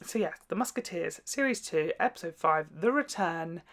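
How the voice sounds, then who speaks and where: A man's voice: high-pitched, animated, moderate pace, fairly bright tone. A man giving casual reaction commentary as a host, with gossipy, exclamatory asides.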